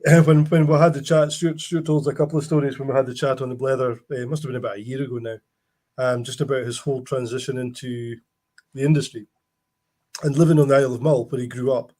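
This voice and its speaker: Male host, middle pitch, chatty, rambling, lots of restarts. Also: fairly even intonation, fast talker, a little mellow. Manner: rambling anecdotes with frequent restarts. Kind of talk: casual chat.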